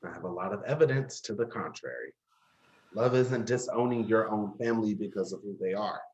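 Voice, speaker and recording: Man playing a bitter, sarcastic young man, animated pitch. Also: brisk, highs cut off, close to an everyday voice. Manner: sarcastic, confrontational. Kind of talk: dramatic dialogue acting.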